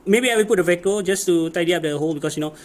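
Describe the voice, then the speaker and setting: Higher-voiced man, hesitant, noisy recording. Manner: hesitant, improvised phrasing with searching pauses. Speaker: DIY tutorial presenter. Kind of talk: casual hands-on how-to narration. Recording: clear background noise, ambient sound.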